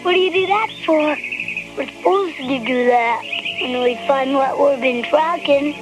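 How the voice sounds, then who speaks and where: A performer voicing an innocent, eager young animal in an animated film, childlike and guileless, with an American accent. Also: high-pitched, measured pace, low-quality recording. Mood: curious. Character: eager, innocent young fox and hound puppy. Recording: music behind the voice.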